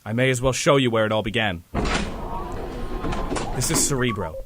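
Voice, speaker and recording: A man's voice: mid-range voice, normal speed, natural voice. Man playing an earnest superhero leader in long reverb. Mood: solemn explaining. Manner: melodramatic, commanding. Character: earnest, driven young male superhero team leader, troubled. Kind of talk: fan audio drama acting with first-person narration. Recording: long reverb, faint background noise.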